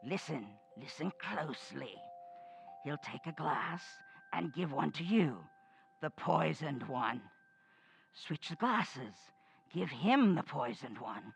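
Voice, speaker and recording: An actress playing a sinister undead old woman in an old-time radio horror play, with a commanding, menacing manner and a gravelly, husky croak. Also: low voice, lively intonation, slow, a little hiss or hum, full character voice.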